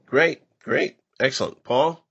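A man co-hosting a casual panel discussion about old-time radio, speaking haltingly with pauses. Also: medium pitch, fairly bright tone, slightly rough, no room sound, muffled recording. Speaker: podcast co-host.

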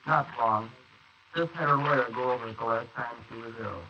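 A man's voice: medium pitch, a little fast, lightly acted. Man playing a jittery guilty man, noisy old radio. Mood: calmer, reassured. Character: nervous, guilty young man, accomplice in a murder. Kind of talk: 1940s radio acting. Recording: noisy muffled 1948 wire recording of transcription discs.

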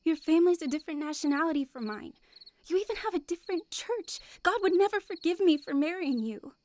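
An actress playing an anxious, earnest young wife terrified of death, in a radio drama. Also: female voice, very high voice, animated, brisk, a little mellow, a little hiss or hum. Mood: worried. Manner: earnest, fretful. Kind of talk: radio drama acting and narration.

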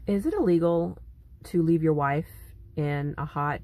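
Brief: woman in her thirties, casual phone vlog, slow and sighing, faint room hiss. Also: lowish voice, mellow. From California. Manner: slow, sighing, grumbling complaints. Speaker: vlogger. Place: parked truck cab, then dim home room. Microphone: phone at arm's length.

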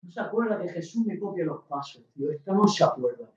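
A woman voicing an aggressive, controlling young boyfriend who shouts and bullies. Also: on the low side, lively intonation, fast, close to an everyday voice. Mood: angry. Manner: shouting, bullying, dismissive. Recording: echoey, distant, dull stage recording.

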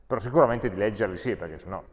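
A lower-pitched Italian man talks a class through writing code, pausing and filling gaps while he thinks aloud. The capture is dull and lo-fi, with a long hall echo trailing each phrase. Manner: thinks aloud while typing code, many pauses and fillers. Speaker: university lecturer. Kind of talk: live-coding programming lesson.

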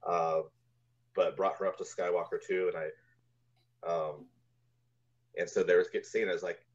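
A deep-voiced man in his forties talks casually as an interview guest. He recounts things in a halting, easygoing conversational way. The sound is slightly dull, like a video call from a room at home. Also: little pitch movement. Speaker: guest, composer.

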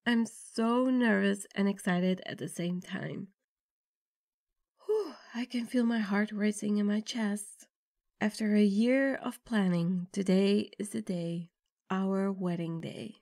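A woman's voice: mid-range voice, gravelly, a little mellow, dry recording, studio quality. A woman playing a nervous, affectionate young bride-to-be in an ASMR girlfriend roleplay, with a tender, intimate delivery. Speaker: roleplay audio performer.

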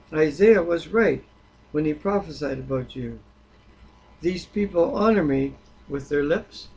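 Male, husky and fairly high-pitched, informal Bible reader, slightly halting. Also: darkish tone, highs cut off. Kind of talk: Bible reading aloud with informal discussion.

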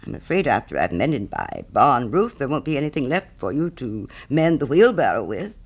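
A man's voice: mid-range voice, big pitch swings, moderate pace. A man voicing a smug, selfish miller who preaches friendship in a pompous, moralizing way, as a storybook character. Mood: smugly grasping. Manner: pompous, self-righteous, moralizing.

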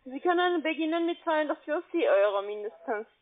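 High-voiced foreign-accented woman on the phone. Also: lively intonation, brisk. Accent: foreign, non-native German. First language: not German. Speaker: call-centre investment sales agent. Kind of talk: scripted phone sales pitch. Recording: narrow-band phone line.

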